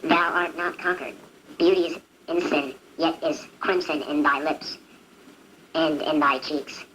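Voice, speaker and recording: Grieving young lover played by a woman, amateur puppet-show Shakespeare, slow declamation, solemn, slightly noisy recording. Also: low voice, steady tone, fairly bright tone. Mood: mournful.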